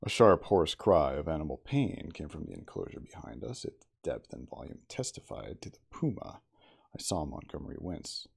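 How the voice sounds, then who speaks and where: A man giving a theatrical, deliberate ASMR storybook reading as the narrator of a classic novel. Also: extremely low pitch, sing-song, gravelly, breathy edge.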